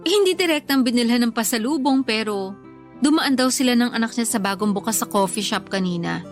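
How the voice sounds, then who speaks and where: A woman's voice: fairly high pitch, animated, fairly quick, faint music behind, natural voice. An actress playing an insecure but devoted young woman in love in a radio drama. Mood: troubled, jealous. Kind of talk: Tagalog radio drama acting.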